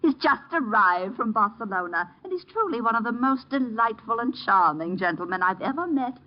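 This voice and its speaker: An actress in an old radio drama playing a gushing, bossy high-society wife with an effusive, affected manner. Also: female voice, animated, normal speed, slight background noise, lightly acted. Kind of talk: 1940s-1950s radio acting. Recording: muffled old radio recording.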